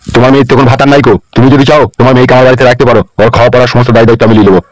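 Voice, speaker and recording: Man playing a wheedling, rapid-fire cartoon politician. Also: medium pitch, fairly even intonation, natural voice, low-quality recording. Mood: defensive, irritated. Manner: wheedling, crude. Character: lecherous, wheedling, corrupt male politician in a cartoon. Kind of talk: comedy cartoon dubbing.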